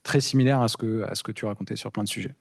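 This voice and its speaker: Mellow man at a tech talk. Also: on the low side, rapid-fire, vocal fry, husky, no room sound, studio quality. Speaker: principal engineer speaking on a panel. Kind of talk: informal tech conference talk.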